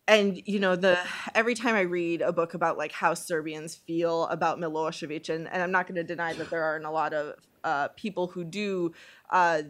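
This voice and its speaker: A woman's voice: lowish voice, a bit bright. A woman from Bosnia and Herzegovina speaking informally as a panelist, thinking aloud hesitantly with some self-deprecating humour. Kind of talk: informal spoken panel commentary.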